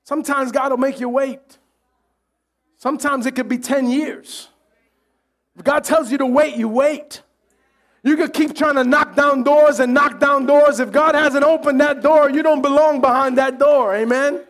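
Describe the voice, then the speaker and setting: A pastor preaching a sermon with animated delivery, joking and acting out the voices of the crowd. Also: male voice, very high voice, unhurried.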